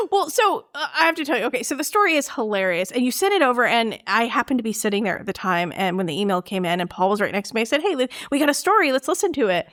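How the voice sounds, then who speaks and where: A woman's voice: a little high, animated, fast talker, a bit bright. A woman hosting a podcast, with an enthusiastic, effusive and friendly way of talking. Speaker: podcast host. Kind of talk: scripted introduction and friendly interview.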